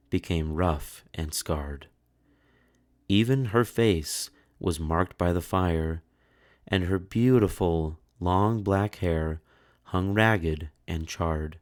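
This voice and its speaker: Low voiced guy reading a kids book, slow and dramatic w suspense pauses. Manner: measured, expressive, with pauses for suspense. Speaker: children's storyteller. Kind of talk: dramatic picture-book read-aloud.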